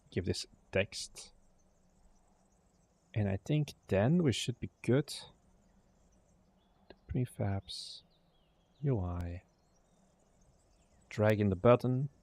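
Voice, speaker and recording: A young man talks to himself while he works, half-muttering, trailing off mid-thought and tossing in the odd curse. His voice sits a bit low with a gravelly fry. The sound is close and dry. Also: a little mellow, no room sound. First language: Dutch. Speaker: indie game developer streaming. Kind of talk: casual livestream, thinking aloud while working.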